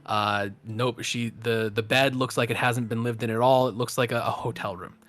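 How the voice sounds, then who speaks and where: A man narrating an improvised tabletop game as game master, in a halting, unhurried manner. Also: medium pitch, steady tone, fairly bright tone.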